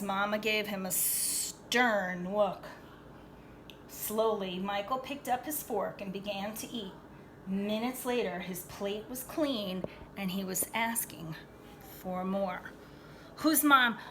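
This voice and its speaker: A woman's voice: mid-range voice, slow, bright, forceful tone, slight background noise, rough recording. A woman reading a storybook aloud to children expressively, with chatty, teacherly asides, questions and emphatic phrasing. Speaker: storybook read-aloud reader.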